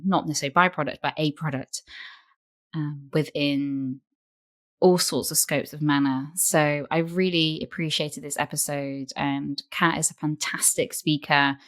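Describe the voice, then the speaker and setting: Low-voiced, croaky woman podcast host. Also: fairly bright tone. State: croaky voice from recent illness. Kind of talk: scripted intro, then casual interview chat.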